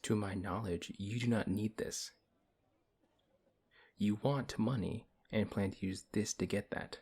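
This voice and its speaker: A man playing a big, principled paladin in a fantasy audio roleplay, speaking in a stern, measured and dryly skeptical way. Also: on the low side, steady tone, a little mellow, breathy edge. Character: big strong male paladin, principled, wary, reluctantly helpful. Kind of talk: ASMR audio roleplay acting.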